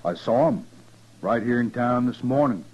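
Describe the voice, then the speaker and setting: A man's voice: mid-range voice, normal speed, darkish tone, lightly acted. An American man playing a stubborn, vengeful rural man in a 1950s radio western, with a folksy drawl. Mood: accusing. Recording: dull old radio recording.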